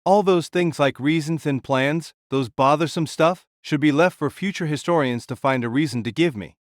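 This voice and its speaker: A man voicing a boisterous, carefree warrior king who makes loud, hearty proclamations. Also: on the high side, moderate pace, no echo, clean studio sound, close to an everyday voice. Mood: nonchalant. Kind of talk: audiobook character voice.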